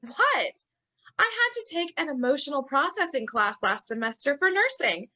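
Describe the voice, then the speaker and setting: A young woman playing a blunt, scheming sorority nursing student in a dramatic reading, emphatic and matter-of-fact about dark ideas. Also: sits high, sing-song, moderate pace, full character voice. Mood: earnest, provocative.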